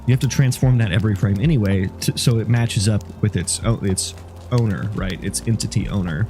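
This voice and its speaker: A man's voice: on the low side, fairly quick, warm tone, soft background music. A sniffly man, a game developer, talking casually through his code and rambling as he thinks aloud. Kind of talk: casual dev log.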